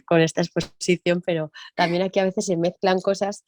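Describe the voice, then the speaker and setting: A woman music teacher talking in an interview, thinking aloud and often tagging her points with quick questions. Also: lowish voice, little pitch movement, a little mellow, slight background noise. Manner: reflective, thinks aloud with frequent tag questions. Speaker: music teacher and percussionist guest. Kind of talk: conversational interview.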